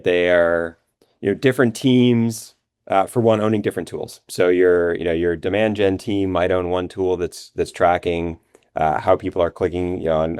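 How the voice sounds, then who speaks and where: Deep voiced guy talking shop in an interview, lots of you knows, very dry close sound. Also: darkish tone, smooth, no room sound. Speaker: interview guest, revenue operations executive. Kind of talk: conversational business interview answers.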